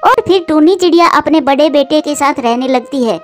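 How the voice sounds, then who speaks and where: A female storyteller with a high voice narrates a scripted children's cartoon tale. Soft music runs underneath her reading. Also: normal speed.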